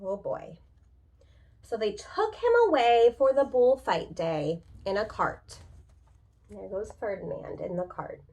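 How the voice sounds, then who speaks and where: A woman's voice: medium pitch, lively intonation, takes it slowly, mellow. A woman reading a children's picture book aloud like a preschool teacher, expressively, with casual, chatty asides and questions to young listeners. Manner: informal, chatty explanations.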